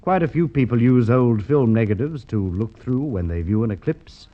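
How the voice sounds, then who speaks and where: An actor playing a brisk, shrewd male police inspector, in vintage radio drama style. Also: medium pitch, lively intonation, muffled recording, lightly acted. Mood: shrewd, accusing. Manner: commanding, measured questioning. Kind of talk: Golden Age radio drama acting.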